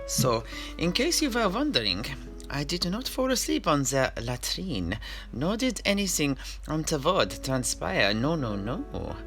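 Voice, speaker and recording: A man's voice: on the high side, very animated, fairly bright tone, voice pushed up, exaggerated voice. Man playing a theatrical, swooping jovial tavern keeper. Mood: genial storytelling. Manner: hammy, hesitant, with drawn-out musing. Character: jovial, tippling fantasy tavern keeper and storyteller. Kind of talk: in-character theatrical fantasy storytelling. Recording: music behind the voice.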